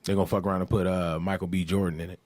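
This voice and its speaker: A man's voice: sits low, little pitch movement, very fast, a little mellow. An American man talking casually and slangily as a guest on a podcast. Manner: slangy, informal.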